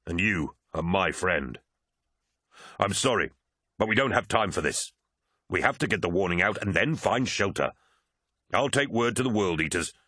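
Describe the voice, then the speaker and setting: A man's voice: sits low, animated, normal speed, long pauses, pushed and bright, no echo, heavily acted. A grave, loyal military captain played by a male narrator, earnest and urgent. The delivery is that of a serious sci-fi audiobook, recorded close and dry. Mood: determined.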